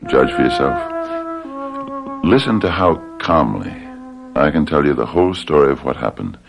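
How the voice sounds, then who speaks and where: Very deep, gravelly, nervous unhinged man. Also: very animated, music in the background, full character voice. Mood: agitated, insistent. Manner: halting, agitated, repeats himself. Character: nervous, unhinged husband insisting he is sane. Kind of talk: radio drama acting.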